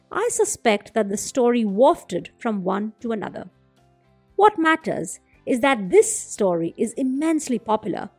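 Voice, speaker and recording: Animated, high-voiced woman storyteller. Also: breathy edge, no room sound. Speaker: storyteller and podcast host. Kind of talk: expressive folktale narration and commentary.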